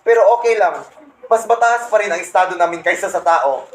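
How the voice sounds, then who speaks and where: A man's voice: sits high, expressive, brisk. A young man playing a boastful, resentful Satan, the fallen angel, in a comedic radio play, pompous and scornful. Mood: boastful, aggrieved. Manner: pompous, scornful, punctuated with cackling laughs. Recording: roomy echo, faint background noise.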